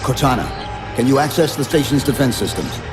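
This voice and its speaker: An actor playing a stoic, commanding super-soldier in a video game, speaking tersely. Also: male voice, medium pitch, expressive, a little fast, big-room sound, over music, natural voice. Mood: urgent command. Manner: terse, commanding, controlled delivery. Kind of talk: video game cinematic acting.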